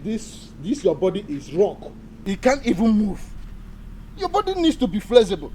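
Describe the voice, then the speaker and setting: A man's voice: sits high, huge pitch swings, normal speed, dark tone, slight background noise. A man with a Nigerian accent acting an impatient, strict dance teacher who scolds with exasperated questions in a comedy drama. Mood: exasperated scolding. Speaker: actor.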